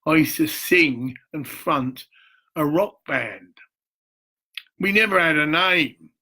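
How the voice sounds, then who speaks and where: A man's voice: fairly high pitch, animated, takes it slowly, sharp tone, breathy edge. An older man, rambling through a theatrical, emphatic monologue in a self-filmed video. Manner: rambling, mystical, addresses viewers directly. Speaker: charity founder.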